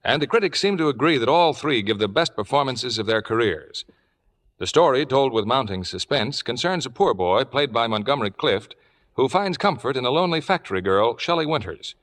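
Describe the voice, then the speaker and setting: A man's voice: mid-range voice, lively intonation, fairly quick, fairly bright tone. A man announcing on a 1950s radio show, reading scripted copy in a polished, formal, promotional manner.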